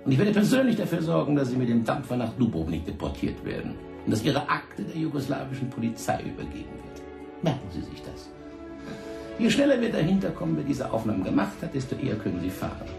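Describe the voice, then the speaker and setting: A man's voice: mid-range voice, wildly swooping pitch, fast talker, gravelly, roomy sound, music in the background. A man playing a menacing, contemptuous French police official in a radio drama, curt and commanding. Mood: threatening.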